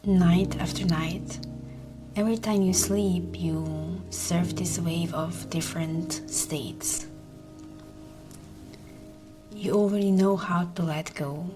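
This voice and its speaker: Woman doing guided meditation, lowish soft breathy voice almost whispering, really slow, music behind her. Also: steady tone. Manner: soothing, gentle, unhurried.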